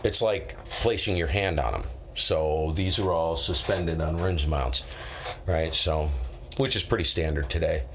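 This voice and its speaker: Low-pitched middle-aged American man chatting casually. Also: unhurried, a little hiss or hum. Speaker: live stream host. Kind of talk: casual chat, rambling anecdotes.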